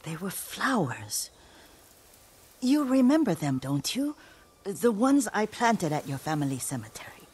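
A woman playing an elderly, devoted caretaker in a video game drama, speaking tenderly and haltingly, often hushed. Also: mid-range voice, sing-song. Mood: nostalgic. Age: older. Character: elderly devoted female caretaker, gentle, wistful, stubborn.

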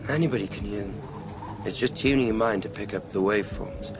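Low-voiced, earnest young dreamer, played by a man. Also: a little fast, background noise, lightly acted. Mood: hushed, knowing. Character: earnest young male thought-experiment figure, naive amateur philosopher. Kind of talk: radio drama acting. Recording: music behind the voice.

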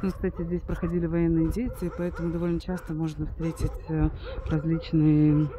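A woman's voice: low voice, little pitch movement, moderate pace, background noise. A woman giving casual, unscripted travel commentary as a guide, explaining things hesitantly with trailing asides.

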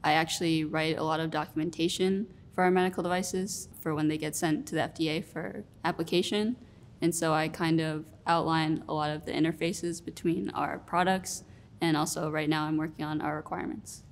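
A young woman in her twenties, answering interview questions in a relaxed, reflective way with many fillers. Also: low-pitched, a little fast, slight background noise. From Rhode Island, US. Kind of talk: sit-down interview. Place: studio room with plants.

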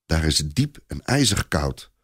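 A man with a low voice reads a story aloud as narrator. His delivery is expressive and deliberate, with lively rises and falls, recorded dry and close. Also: husky, no echo. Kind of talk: dramatised storytelling, scripted narration.

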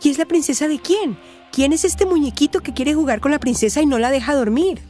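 A woman's voice: sits high, fairly quick, dark tone, faint music behind, close to an everyday voice. A woman playing a caring, level-headed Colombian friend and adviser in a radio soap opera. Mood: softly coaxing.

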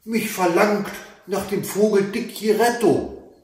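A man's voice: high voice, takes it slowly, darkish tone, pitched above the performer's own voice. A man voicing a grieving, devoted young maiden in a fairy tale, earnest and plaintive. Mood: longing. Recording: echoey room, mic at a distance.

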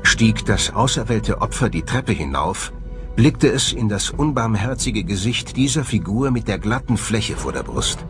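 Man, low voice, measured documentary narration, articulate delivery, prominent music behind. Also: very animated. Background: prominent background music.

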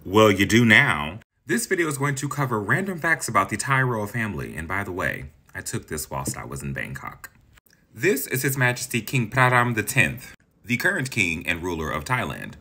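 Chatty gossipy man with a bright, husky voice. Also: on the low side, sing-song, moderate pace. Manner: chatty, dramatic, gossipy asides. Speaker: video creator. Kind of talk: casual explainer vlog. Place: green-screen setup, indoors.

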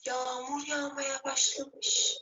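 A woman teacher telling a story to children in an animated, expressive way, with drawn-out repetitions. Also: high-pitched, dull audio, a little hiss or hum. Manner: animated, drawn-out repetitions, addressing young listeners.

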